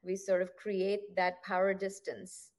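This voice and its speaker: Woman host, mid pitch, thoughtful rambling questions, lots of "you know". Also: monotone, a little slow, a little mellow. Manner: reflective, winding questions with many "you know"s. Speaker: podcast host, interviewer. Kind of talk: interview questions.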